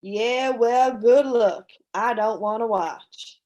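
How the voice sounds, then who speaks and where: Woman acting a slow-spoken, defiant woman. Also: a little high, darkish tone, muffled recording. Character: sceptical, defiant woman feuding with a house ghost. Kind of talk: amateur radio play acting.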